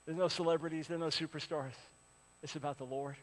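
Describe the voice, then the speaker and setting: A man in his sixties preaching a sermon, swinging from loud appeals to hushed, pleading lines. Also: a little high, fairly even intonation, brisk, in a large hall, rough recording. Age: 60s. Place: large church with a balcony.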